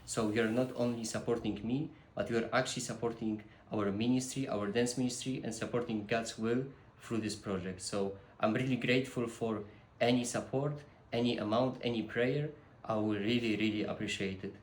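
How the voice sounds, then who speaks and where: A young man from Poland with a Polish accent, making an earnest personal appeal to the camera, halting, with many restarts and fillers. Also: mid-range voice, steady tone. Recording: echoey room with faint noise.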